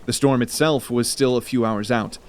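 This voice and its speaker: A man reads scripted fantasy narration in a dramatic storytelling style. His voice is of middling pitch. A light layer of ambient noise sits behind it. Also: steady tone, moderate pace.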